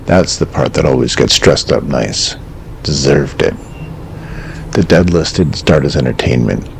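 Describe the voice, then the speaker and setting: A man acting as a calm, cryptic late-night radio host in an audio drama, measured, terse and quietly ominous. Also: extremely low pitch, gravelly, slight background noise, full character voice.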